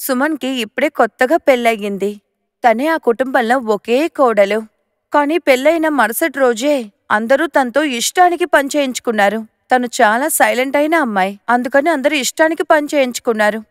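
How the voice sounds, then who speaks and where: Female narrator, high voice, scripted storytelling, dry studio sound. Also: fairly quick, no echo.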